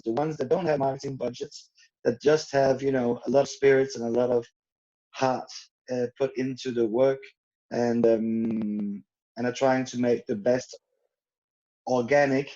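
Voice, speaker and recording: A man's voice: medium pitch, dark tone. A middle-aged man from Denmark, chatting casually as a guest on a video call, rambling with many fillers and restarts. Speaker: guest, rum brand owner. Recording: dull lo-fi video call audio.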